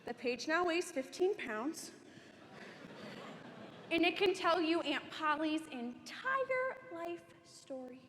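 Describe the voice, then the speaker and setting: A woman's voice: very high voice, animated, slow, full character voice. A young woman playing a cheerful, prim middle-aged crafter in a dramatic monologue, in an echoey hall. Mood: chirpy. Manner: bright, folksy, cheerfully prim. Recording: echoey hall, faint noise.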